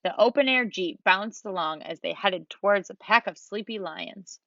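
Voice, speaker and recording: A woman reading a children's picture book aloud in a gentle, even storytelling manner. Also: mid-range voice, normal speed, dry recording. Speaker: storybook reader. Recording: dull lo-fi dry recording.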